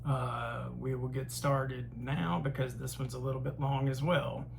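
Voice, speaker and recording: A man hosting a casual film collection review, talking haltingly with frequent pauses and fillers. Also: medium pitch, level tone, gravelly, background noise. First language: English. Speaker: host.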